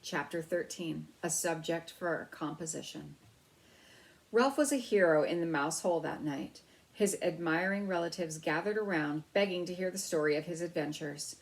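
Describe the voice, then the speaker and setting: Lower-voiced woman reading a story aloud. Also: moderate pace, gravelly, poor audio. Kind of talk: expressive read-aloud narration.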